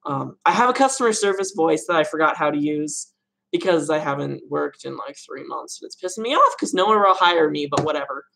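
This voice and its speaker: A young woman with a low voice, hosting a casual live Q&A stream from her room at home, chatty and rambling. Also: expressive, a little fast, gravelly, a bit bright, low-quality recording. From Arizona, USA. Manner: chatty, rambling, laughs often. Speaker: livestream host answering viewer questions.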